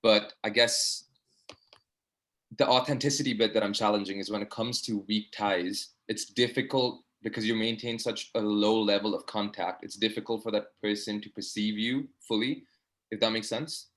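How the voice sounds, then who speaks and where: A man's voice: on the low side, fairly even intonation, normal speed, fairly bright tone. A young man, a medical student, earnestly asking a long, rambling question over a video call, full of fillers. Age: young adult. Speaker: audience participant, medical student. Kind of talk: online discussion question.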